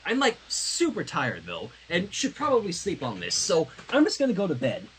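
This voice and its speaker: Man reading as an awkward, high-voiced teenage girl. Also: wildly swooping pitch, normal speed, a little hiss or hum, poor audio. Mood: nervously casual. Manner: hesitant, nervously casual.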